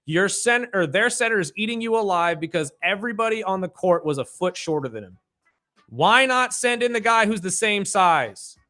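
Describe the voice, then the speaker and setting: Guy on sports radio, kinda high forceful voice, arguing his hoops takes hard. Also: animated, normal speed. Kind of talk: opinionated basketball talk, casual banter. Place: radio studio.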